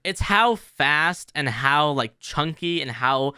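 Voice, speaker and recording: A man giving a casual software tutorial in an informal, explanatory way. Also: on the high side, expressive, slow, smooth, dry recording, studio quality. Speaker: tutorial host.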